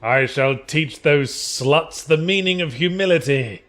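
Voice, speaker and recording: A man's voice: fairly high pitch, measured pace, a bit bright. A man voicing an arrogant, tyrannical nobleman in a hammy fantasy story reading, pompous and menacing. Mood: haughty menace.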